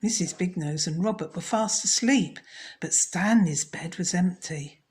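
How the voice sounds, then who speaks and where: A woman storyteller narrating a children's story in a measured, scripted read. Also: lowish voice, darkish tone.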